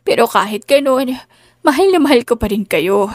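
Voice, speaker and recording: A grieving adult daughter in a storybook drama , acted by a woman narrator, devoted and pleading. The high voice trembles with emotion, recorded close and dry. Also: lively intonation, moderate pace, dry recording. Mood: pained, pleading.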